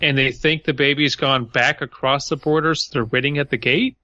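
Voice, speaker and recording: A man's voice: medium pitch, steady tone, normal speed, sharp tone, no echo, highs cut off. A man co-hosting a comedy podcast in casual, profane banter, with a blunt, sarcastic manner. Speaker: podcast co-host.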